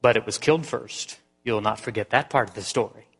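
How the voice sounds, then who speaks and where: Man playing a gentle older male teacher, fatherly, storybook reading, room echo. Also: fairly low pitch, a little fast, vocal fry, breathy edge. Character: kindly old male teacher.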